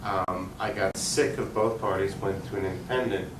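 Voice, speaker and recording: A man from Long Island, New York, giving conversational, explanatory interview answers with halting pauses. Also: low-pitched, fairly even intonation. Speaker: interview guest, political activist. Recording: roomy, distant mic, faint noise.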